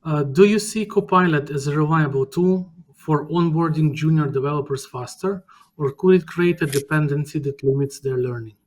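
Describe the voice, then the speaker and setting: A man with a somewhat high voice moderates an online webinar over a video call. He politely reads out audience questions one after another. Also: normal speed, darkish tone, a little hiss or hum.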